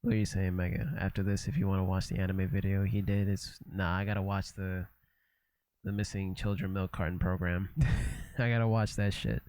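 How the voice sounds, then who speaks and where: A man's voice: sits low, little pitch movement, fairly quick, darkish tone, dry recording. A young man from Canada chatting casually and loosely on a livestream, swearing, repeating himself and trailing off as he reacts to a video.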